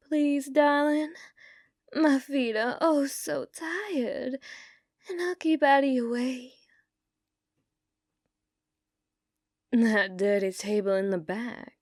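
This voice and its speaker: Casting: actress as flirty female bounty hunter for western ASMR roleplay. Higher female voice, unhurried, coaxing and dominant. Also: darkish tone, no room sound, clean studio sound. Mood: pleading, playful. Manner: coaxing, drawling endearments, drops into breathy whispers. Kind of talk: ASMR roleplay, scripted character acting.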